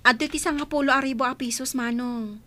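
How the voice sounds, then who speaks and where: A woman's voice: sits high, steady tone, fairly quick, pushed and bright, a little hiss or hum. A woman playing a grateful, earnest village shopkeeper in an Ilocano radio comedy drama, polite and deferential, with an Ilocano accent.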